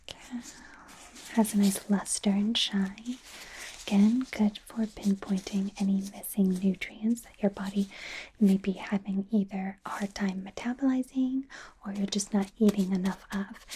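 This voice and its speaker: A woman voicing a nervous, gentle female werewolf doctor in a soft, breathy whisper, hesitant and reassuring, for an ASMR exam roleplay. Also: mid-range voice, a little hiss or hum, lightly acted, rough recording. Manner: hesitant, self-correcting, giggly, reassuring.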